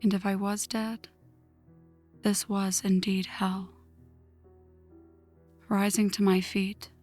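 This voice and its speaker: A woman voicing a vengeful, newly turned vampire in a hushed, breathy ASMR story narration, slow and dramatic. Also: medium pitch. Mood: brooding, haunted. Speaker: audio roleplay narrator. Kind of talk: ASMR scripted first-person story narration. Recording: music under the voice. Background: background music.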